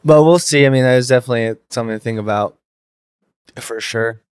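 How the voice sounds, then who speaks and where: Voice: mid-range voice, fast talker, darkish tone, clear, resonant tone, dry recording, clean studio sound. A person in casual conversation, speaking in a hesitant, noncommittal way. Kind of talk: casual chat.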